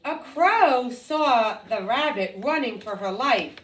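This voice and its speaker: High-pitched, animated woman telling a story. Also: slight background noise. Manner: deliberate, dramatic, with sound effects and repetition. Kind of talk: expressive read-aloud of a folktale for children. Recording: roomy echo, distant microphone.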